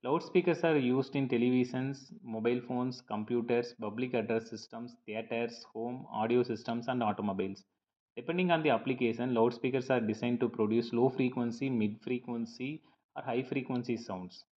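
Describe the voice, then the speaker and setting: A man's voice: mid-range voice, moderate pace, a little mellow. Man lecturing in a dull, lo-fi recording. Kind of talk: scripted lecture narration over slides.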